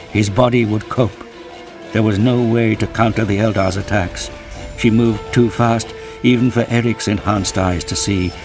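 Deep-voiced male audio drama narrator.

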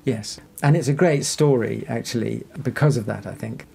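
A man speaks as an interview guest with a soft, dark tone and plenty of creak. He explains carefully and reassuringly, in a conversational way. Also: mid-range voice, moderate pace.

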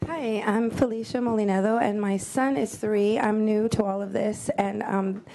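A woman, a new parent, giving a nervous, hesitant public comment with many pauses. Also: medium pitch, fairly even intonation, mellow, slight background noise, poor audio. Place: state hearing room.

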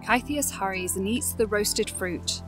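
A woman's voice: mid-range voice, a little slow, over music. A woman narrating a scripted fantasy audio drama.

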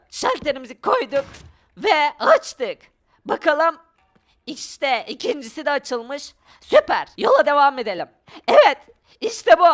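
A woman giving excited video game commentary, exclaiming and thinking aloud for her viewers. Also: high voice. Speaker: gaming video creator.

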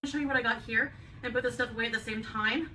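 A chatty woman with a bright, slightly high voice rambles through a grocery haul vlog. She is heard from a distance through the camera in her kitchen, with a faint room hum behind her. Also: steady tone, quick pace. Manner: chatty, rambling, light laughs. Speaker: vlogger. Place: home kitchen, indoors. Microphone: camera on the counter.

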